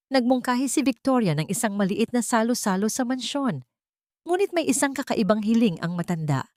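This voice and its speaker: A woman's voice: mid-range voice, darkish tone, no room sound, studio quality. A woman reading a dramatic romance story as a scripted narration.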